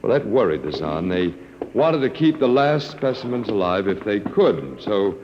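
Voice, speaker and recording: A man's voice: lowish voice, big pitch swings, normal speed. A man playing a wry, weary, humane survivor in a 1950s radio drama. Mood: relieved, wry. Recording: dull, noisy vintage radio recording.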